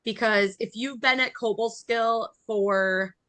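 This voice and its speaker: American woman, podcast host, bright forceful voice, very dry close sound, bubbly, casual, self-joking. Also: a little high, steady tone, takes it slowly, no room sound. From New York, United States. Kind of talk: casual chatty interview.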